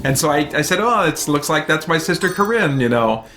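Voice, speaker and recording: A man's voice: fairly high pitch, animated, fairly quick, sharp tone. Chatty man with game music behind. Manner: chatty, rambling, encouraging. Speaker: livestream host and podcaster. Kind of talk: casual livestream chat. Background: video game music.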